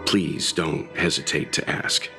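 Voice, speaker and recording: Deep-voiced, courteous gentleman. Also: steady tone. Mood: courteous, admiring. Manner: polite, deliberate, thoughtful. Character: calm, courteous, scholarly gentleman, patient advisor. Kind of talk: video game voice acting. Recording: background music under the voice.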